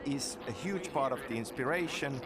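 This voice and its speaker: A man's voice: medium pitch, moderate pace, a loud crowd. A man from Finland who speaks hesitantly, restarting as he searches for words.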